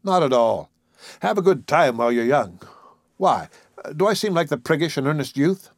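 A man playing an earnest, patient, idealistic young man with a measured, reasoning manner in a story. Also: fairly high pitch, big pitch swings, no room sound, clean studio sound, voice pushed up. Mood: reassuring.

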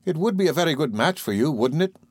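A man voicing a gentle, fragile young mother in a storybook, with tender, genteel phrasing. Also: on the high side, lively intonation, fast talker, husky, no echo, voice pushed up. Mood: playful, teasing. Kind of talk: audiobook character voice.

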